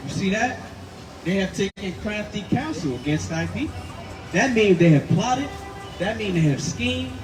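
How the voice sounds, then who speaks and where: A man preaching outdoors, forceful and emphatic, repeating his points. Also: high voice, expressive, normal speed. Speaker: street preacher. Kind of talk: street preaching. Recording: distant mic with loud street noise.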